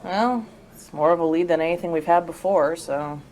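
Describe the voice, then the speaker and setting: An actress playing a skeptical, exasperated young college teacher, blunt and sarcastic in casual audio drama banter. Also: female voice, on the low side, moderate pace, a little mellow, close to an everyday voice, low-quality recording.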